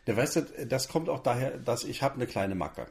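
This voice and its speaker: A man's voice: mid-range voice, lively intonation, normal speed, gravelly, a little mellow. A man co-hosting a casual podcast, speaking in long flowing sentences with dry humor. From Germany. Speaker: podcast co-host. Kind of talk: casual chat.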